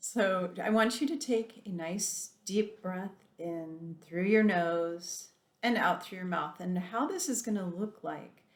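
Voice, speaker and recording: A woman leading a guided meditation in a softened, soothing voice, drawing out slow breathing instructions. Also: lowish voice, roomy sound.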